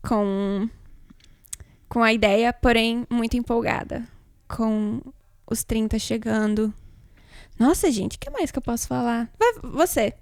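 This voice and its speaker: Clear, higher-pitched Brazilian woman, casual. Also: no echo, clean studio sound. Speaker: podcast co-host. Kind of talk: casual podcast chat.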